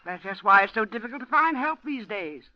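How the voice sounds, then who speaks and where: A woman playing a brisk, stubborn old landlady. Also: a little high, expressive, lightly acted. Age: older. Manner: brisk, scolding, old-fashioned exclamations. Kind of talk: 1940s radio acting. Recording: lo-fi 1940s radio broadcast.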